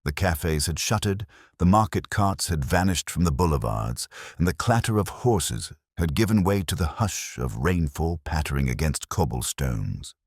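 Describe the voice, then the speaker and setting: A man with a very deep, husky voice narrating a scripted story in a dramatic, literary manner. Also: expressive, moderate pace, no room sound, studio quality.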